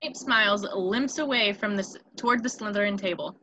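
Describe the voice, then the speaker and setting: A woman's voice: mid-range voice, brisk, pushed and bright, a little hiss or hum. A woman reading stage directions as narrator of a screenplay table read.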